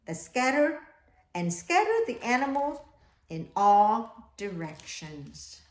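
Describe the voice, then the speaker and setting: Female children's storyteller, high-pitched and expressive, unhurried delivery. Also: slight background noise. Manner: animated, exclaiming, prompting listeners with questions. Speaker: read-aloud storyteller for children. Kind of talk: expressive picture-book reading with asides to young listeners. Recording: echoey room.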